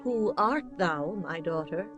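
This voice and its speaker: An actress playing a caring older mother-in-law in a dramatized scripture, speaking in a measured, solemn way. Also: on the low side, lively intonation, music in the background. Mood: calm, motherly. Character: Naomi, caring older mother-in-law counselling her daughter.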